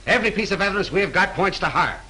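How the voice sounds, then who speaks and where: Hard-nosed cop, bright sharp voice, slightly high, old hissy film sound. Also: male voice, lively intonation, fast, full character voice, low-quality recording. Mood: gruff. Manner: blunt, bullying interrogator. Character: tough, pushy male police detective. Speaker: police detective. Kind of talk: 1930s film acting. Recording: faint hiss of 1930s film soundtrack.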